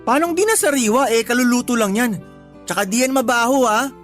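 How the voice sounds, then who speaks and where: An actor playing a doting, put-upon young tricycle driver in a Tagalog radio drama, pleading and exasperated. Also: male voice, very high-pitched, expressive, brisk, fairly bright tone, soft background music. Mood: pleading, dismayed.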